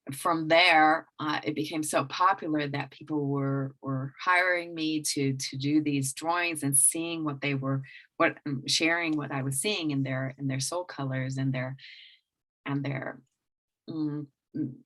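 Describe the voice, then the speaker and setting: Casual: woman from england w/ blended accent, deep-ish voice, lots of restarts and ums. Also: steady tone, a little slow, fairly bright tone. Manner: rambling with many restarts and fillers. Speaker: guest business coach. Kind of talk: casual interview talk.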